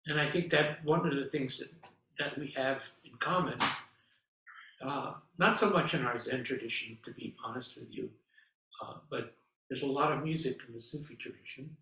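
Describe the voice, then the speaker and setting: A man speaking as an abbot, opening a class with relaxed, hesitant remarks full of pauses. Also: medium pitch. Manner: relaxed, with many fillers and pauses. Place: meditation hall. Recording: echoey hall, mic far off.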